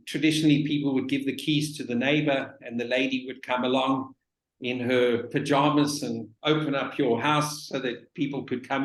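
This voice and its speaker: A middle-aged man giving an informal webinar presentation about his business, with a halting, pausing delivery. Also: mid-range voice. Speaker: real estate business owner, panelist. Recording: roomy, echoing sound.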